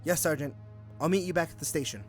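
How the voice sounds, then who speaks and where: A man acting as a friendly police officer in an audio drama. His voice is on the high side for a man, polite and deferential, with music underneath. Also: fast talker, lightly acted. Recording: music behind the voice.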